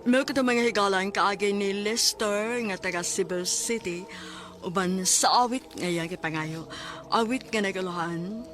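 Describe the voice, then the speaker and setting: A woman's voice: mid-range voice, measured pace, fairly bright tone. A woman with a Cebuano accent announces as a radio drama host. Her voice sits in the middle range and the delivery is scripted. Faint music plays beneath her.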